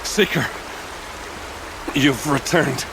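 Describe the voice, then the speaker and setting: An actor playing a broken, dying tribesman in a video game, solemn, weak and halting, with rain noise. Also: mid-range voice. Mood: weary, fading. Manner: halting, laboured. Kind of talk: dramatic video game acting. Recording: loud rain noise over voice.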